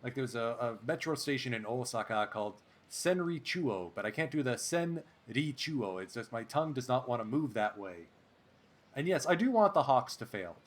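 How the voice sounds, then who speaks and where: A man doing play-by-play commentary over a baseball sim game, excitable and chatty, drifting into asides. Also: medium pitch, moderate pace. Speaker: let's play host.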